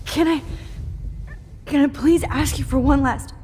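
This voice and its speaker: A young woman acting in a video game as a frightened but defiant captive student, speaking in a halting, pleading way. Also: sits high, steady tone, mellow. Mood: pleading, weak. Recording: long reverb, faint background noise.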